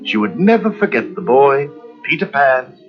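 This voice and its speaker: A man narrating a children's story from a script in an expressive storytelling manner. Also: medium pitch, moderate pace. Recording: dull lo-fi storybook record with music.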